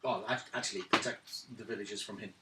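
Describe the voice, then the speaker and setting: A man's voice: on the low side, steady tone, a little fast. A man bantering casually as a player in a tabletop game, recorded from a distance in a noisy, echoey room. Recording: echoey, distant mic, clear noise.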